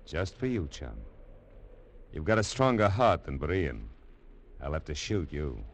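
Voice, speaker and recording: Casting: menacing, mocking gold thief, very deep voice, slow and swooping delivery, old radio drama. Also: male voice, very animated, exaggerated voice. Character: ruthless treasure-thief man, sneering and cold. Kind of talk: 1950s radio drama acting.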